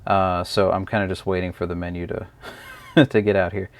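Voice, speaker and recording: A man's voice: low-pitched, flat delivery, fairly quick. A man giving a casual, unscripted hands-on product review, explaining step by step in a hesitant way.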